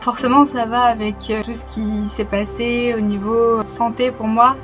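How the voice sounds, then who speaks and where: A young woman talking to her channel in a casual, reflective way, open and a little hesitant, with soft laughs. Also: fairly high pitch, flat delivery, normal speed. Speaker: YouTuber. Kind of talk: casual personal vlog talk. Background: music.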